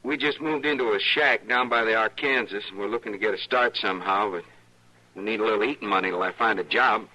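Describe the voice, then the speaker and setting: An actor playing a broke, hot-tempered, protective young husband in an old radio western, with folksy speech. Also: male voice, lowish voice, quick pace, pushed and bright. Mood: hopeful, hesitant. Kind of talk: 1950s-60s radio western acting. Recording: noisy old radio recording.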